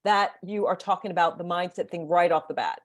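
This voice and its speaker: A woman's voice: on the low side, fairly even intonation, brisk. A woman hosting an interview as a midlife coach, talking in an enthusiastic, upbeat, chatty way. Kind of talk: conversational interview.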